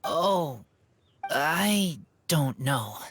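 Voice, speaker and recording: An actor playing a shy, earnest wolf-raised teenage boy in a video game, speaking in halting, broken short phrases. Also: fairly high pitch, big pitch swings, fairly bright tone, a little hiss or hum, exaggerated voice. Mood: tired, hesitant. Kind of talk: video game cutscene acting.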